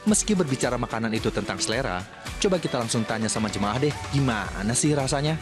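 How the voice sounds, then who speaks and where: A male narrator voices a scripted news feature in a chatty, playful way. His pitch is average for a man, with clear music underneath. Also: animated, quick pace.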